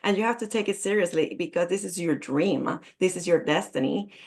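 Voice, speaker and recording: A woman's voice: on the low side, brisk, creaky, darkish tone. A woman from Puerto Rico with a Spanish accent and Spanish as her first language, introducing her coaching work on a video call in an earnest, emphatic way. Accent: Puerto Rican Spanish. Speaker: business coach.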